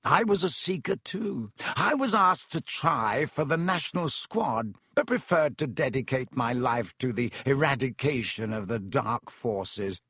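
A man's voice: medium pitch, animated, measured pace, hardly any pauses, a bit bright, slightly rough, dry recording, studio quality, heavily acted. A man voicing a vain, boastful wizard professor in a pompous, theatrical manner for a storybook. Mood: smug, condescending. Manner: pompous, self-dramatising. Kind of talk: audiobook character acting.

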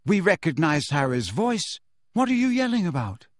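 A man's voice: a little high, very animated, normal speed, no room sound, studio quality, voice pushed up. A man voicing a plucky, cool-headed teenage girl in an audiobook, with a direct manner. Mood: uncertain, cool. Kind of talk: audiobook character voice.

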